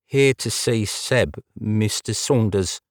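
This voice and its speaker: A man's voice: lowish voice, lively intonation, moderate pace, dry recording, clean studio sound, pitched above the performer's own voice, close to an everyday voice. A man voicing a nervous male visitor with a trembling delivery, trying to mask his accent. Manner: hesitant, trembling, trying to sound composed.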